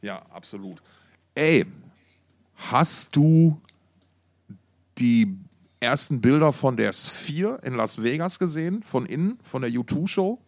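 A man's voice: mid-range voice, expressive, slow, long pauses, no room sound, clean studio sound. A man chats casually as a podcast co-host, in a colloquial, effusive way full of interjections and slang. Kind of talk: casual music podcast chat.

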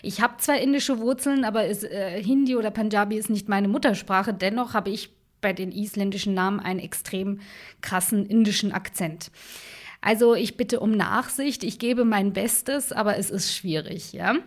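A woman co-hosting a true crime podcast, telling the case in a relaxed, conversational way with fillers and asides. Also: medium pitch, moderate pace. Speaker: podcast co-host.

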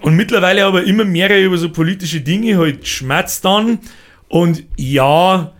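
Bavarian male co-host, slightly high-pitched, blunt, rambles with stammered restarts. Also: a little slow. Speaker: podcast co-host. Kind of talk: casual chat.

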